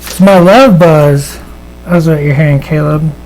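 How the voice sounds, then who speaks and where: A man with a raspy voice, chatting casually and giving a live painting demonstration, with plenty of fillers and restarts. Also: on the high side, unhurried, a little mellow, rough recording. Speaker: miniature painter and host. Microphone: studio microphone at a desk.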